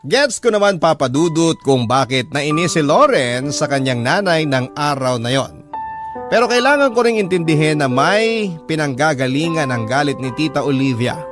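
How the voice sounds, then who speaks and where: A man's voice: a little high, natural voice. A man with a Filipino accent playing a sincere boyfriend, narrating his love story confidingly in a scripted radio drama. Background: music under the voice.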